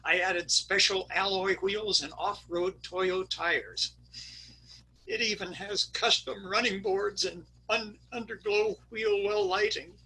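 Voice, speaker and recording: A man's voice: high voice, fairly even intonation, takes it slowly, creaky, sharp tone, slightly rough, exaggerated voice, rough recording. A man playing a flustered middle-aged husband who hems and haws about his missing wife, read over a video call for a readers theater skit. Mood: proud. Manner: hesitant and vague about his wife, then eager and detailed about his truck.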